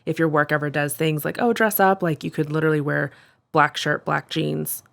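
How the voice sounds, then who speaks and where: Low-voiced chatty woman podcaster. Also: fairly even intonation, normal speed, creaky, a bit bright. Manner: chatty, lots of likes and ums. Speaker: podcast co-host. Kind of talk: casual chat.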